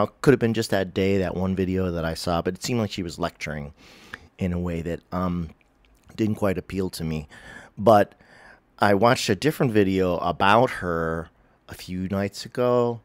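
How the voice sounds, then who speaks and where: A middle-aged man talks to his webcam from his living room, sounding stuffy and sniffly from a head cold. His voice sits very low, and he rambles along with frequent um's and self-corrections. The dry sound is close, with no room echo. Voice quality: nasal, sniffly. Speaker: spiritual vlogger. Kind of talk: casual talking-to-camera vlog.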